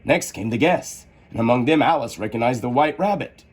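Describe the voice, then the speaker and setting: A man narrating a children's story aloud in an expressive, theatrical manner. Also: mid-range voice, brisk, husky. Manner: animated, theatrical delivery.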